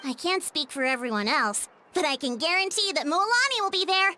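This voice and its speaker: A woman voicing an eager, curious young girl warrior in an anime-style video game, excitable and friendly. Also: extremely high pitch, a little fast, heavily acted. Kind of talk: scripted game dialogue, anime-like.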